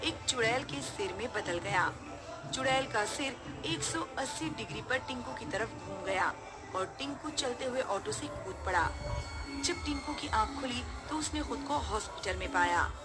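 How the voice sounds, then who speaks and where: A woman's voice: fairly high pitch, expressive, measured pace, over loud music. A woman narrating a scripted horror story.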